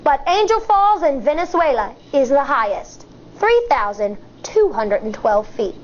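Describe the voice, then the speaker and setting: A woman, an elementary school teacher, reading a nonfiction picture book aloud to young children in a deliberate, explanatory way. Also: high voice, sing-song, slight background noise. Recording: dull, muffled lo-fi sound.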